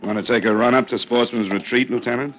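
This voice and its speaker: A man's voice: medium pitch, steady tone, quick pace, natural voice. An actor playing a dry, wry male insurance investigator who narrates in a 1950s radio drama. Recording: dull old radio with music.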